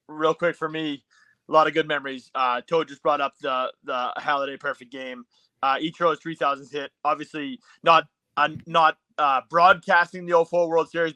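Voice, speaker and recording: A man's voice: a little high, sharp tone, smooth, no echo, low-quality recording. An American man chatting casually as a podcast co-host and putting questions to a guest. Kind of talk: casual chat.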